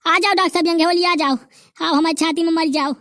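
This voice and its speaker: A woman's voice: extremely high pitch, fairly even intonation, fast, a bit bright, no room sound, clean studio sound. A woman doing a boastful old Bundelkhandi grandfather. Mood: grumbling. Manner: bragging, rustic dialect rants. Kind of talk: cartoon comedy voice acting.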